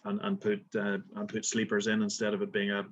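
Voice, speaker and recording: A man's voice: lowish voice, completely flat delivery, brisk, a little hiss or hum. A middle-aged man from Belfast with a Belfast accent, a golf resort manager answering interview questions with many fillers and restarts. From Northern Ireland.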